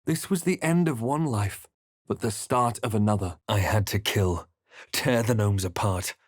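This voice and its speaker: A brooding young adventurer from a fantasy video game. He speaks in a measured, dramatic but understated way, with wry gravity. The voice sits slightly low and the sound is very dry and close. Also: no room sound. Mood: grave, haunted. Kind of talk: video game character lines and narration.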